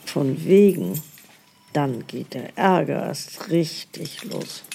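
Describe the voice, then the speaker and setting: A woman's voice: deep voice, expressive, slow, gravelly, mellow, breathy edge, heavily acted. A woman playing an older pensioner who plaintively recounts her troubles in a mock documentary. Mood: aggrieved, plaintive.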